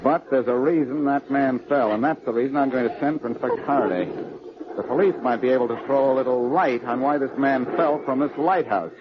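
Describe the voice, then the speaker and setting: An actor playing a quick-witted, breezy amateur detective in a 1940s radio drama. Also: male voice, mid-range voice, close to an everyday voice. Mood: matter-of-fact. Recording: muffled, noisy old radio recording.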